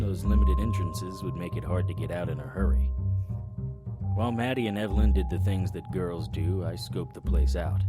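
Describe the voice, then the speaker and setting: An actor playing a serious, plain-spoken 1930s private detective in a noir audio drama. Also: male voice, low voice, natural voice. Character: earnest, dutiful 1930s private detective, a man. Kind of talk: noir audio drama acting and first-person narration. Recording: music behind the voice.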